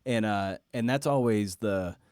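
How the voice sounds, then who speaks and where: Guy podcast host, lowish voice, dry recording, chatty, kinda halting and repeats his words. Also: a little mellow, no room sound. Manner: halting, stammering, repeats words while forming thoughts. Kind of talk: casual interview chat.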